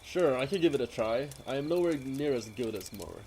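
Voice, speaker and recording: A man playing a self-deprecating seafaring monster hunter who tells his tale in a deliberate, theatrical way in an audio drama. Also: medium pitch, expressive, a little mellow, slight background noise, lightly acted. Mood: rueful storytelling. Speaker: character telling a campfire tale in an audio drama. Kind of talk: scripted dramatic storytelling. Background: music under the voice.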